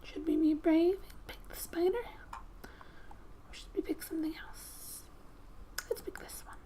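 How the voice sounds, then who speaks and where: A woman speaking softly and breathily in gentle ASMR style, often slipping into a whisper as she reads a game story aloud with casual asides. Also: very high-pitched, steady tone, unhurried. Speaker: gaming ASMR creator. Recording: quiet background music. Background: soft music.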